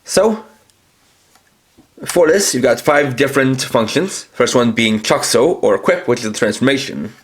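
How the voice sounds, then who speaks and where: A man's voice: mid-range voice, expressive, measured pace, slightly rough. A man reviewing toys, walking through each feature in a methodical, step-by-step way. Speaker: toy reviewer. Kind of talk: toy review demonstration.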